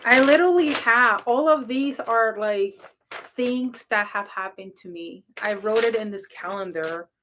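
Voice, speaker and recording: Woman livestream host, loud and rambling, higher-pitched casual storyteller. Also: unhurried, a little hiss or hum. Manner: animated, rambling, laughing, frequent throat clearing. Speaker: livestream host, spiritual reader.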